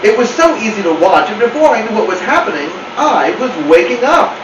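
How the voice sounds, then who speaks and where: A man's voice: high-pitched, big pitch swings, brisk, muffled recording, voice pushed up. A man voicing a dramatic, self-pitying house cat telling a tale in an emphatic, theatrical way. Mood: suspenseful. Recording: roomy, distant mic, clear hum.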